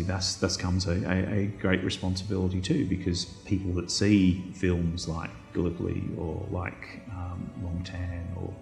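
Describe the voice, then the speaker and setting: Deep-voiced middle-aged Australian man, creaky. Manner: hesitant, reflective, repeats words. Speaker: historian interviewee. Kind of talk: thoughtful interview talk. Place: indoor room, seated. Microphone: lapel. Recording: echoey room with faint music.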